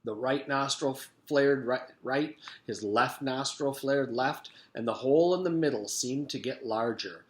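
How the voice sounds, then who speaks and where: A man, a teacher, reading a children's book aloud and pausing to explain it to his pupils. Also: mid-range voice, roomy sound. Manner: expressive, pauses to explain to pupils.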